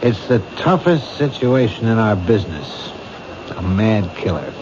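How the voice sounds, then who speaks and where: A world-weary police captain lays out the facts in a brisk, lowish voice. The 1970s radio drama comes through a muffled, noisy old broadcast. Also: male voice, very animated. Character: gruff, weary middle-aged male police captain.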